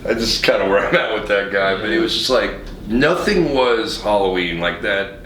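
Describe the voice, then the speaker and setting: A man's voice: on the low side, expressive, moderate pace, a bit bright. A man hosting a casual podcast chat, talking in an enthusiastic, informal, agreeable and rambling way. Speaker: podcast host. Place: echoey podcast studio. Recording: roomy, distant mic with faint noise.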